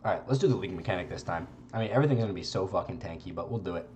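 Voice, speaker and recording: A young man with a congested voice, giving relaxed, rambling gameplay commentary and swearing casually. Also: deep voice, rapid-fire, a little hiss or hum. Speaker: game streamer.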